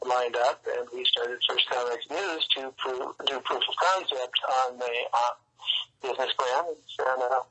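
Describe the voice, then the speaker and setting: Male interview guest, bright forward voice, halting explanatory delivery, telephone-style sound. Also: medium pitch, poor audio. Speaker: interview guest, comics writer and news site publisher. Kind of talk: interview conversation.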